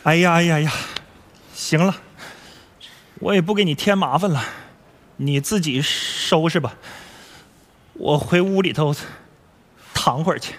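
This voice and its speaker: Nagging father, stage comedy, Northeastern Chinese accent, bashful and fussy, lively swooping intonation, long hall reverb. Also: extremely high pitch, a little fast, exaggerated voice. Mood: deflated. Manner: naggy, exclamatory. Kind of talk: stage comedy sketch acting.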